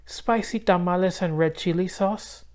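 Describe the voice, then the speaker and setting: Man putting on a high-voiced polite little girl. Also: moderate pace, a little mellow, natural voice. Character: polite, brave, clever young girl. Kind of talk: children's storybook read-aloud.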